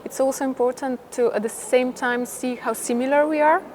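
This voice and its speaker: A woman's voice: sits high, fairly even intonation, fairly quick, darkish tone. A woman who works in theatre, explaining her views in an interview. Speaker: theatre worker being interviewed. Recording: clearly noisy ambient background.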